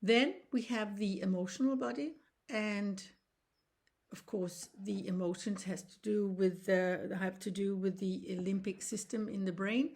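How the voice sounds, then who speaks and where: A Danish woman in her sixties, a reflexology teacher speaking English as a second language, explaining in an earnest, halting way with frequent restarts. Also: medium pitch, fairly even intonation, a little mellow. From Denmark. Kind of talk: informal online lesson.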